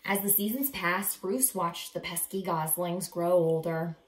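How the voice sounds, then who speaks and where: A woman in her thirties reading a children's picture book aloud with dramatic, deliberate emphasis, in a living room. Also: fairly low pitch, steady tone. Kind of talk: expressive children's read-aloud. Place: small living room, indoors. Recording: roomy, echoey indoor sound.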